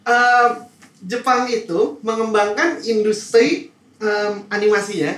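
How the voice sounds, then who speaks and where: A man explaining things in a casual, somewhat halting way as a podcast panelist. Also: high voice, fairly bright tone. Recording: echoey room, distant mic, faint noise.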